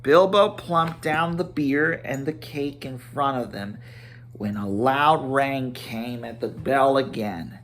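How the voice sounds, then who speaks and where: A man giving an amateur dramatic storybook reading, expressive, with occasional stumbles over words. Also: mid-range voice, slow pace, a little hiss or hum. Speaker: amateur audiobook reader. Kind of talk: dramatic storybook narration with character voices.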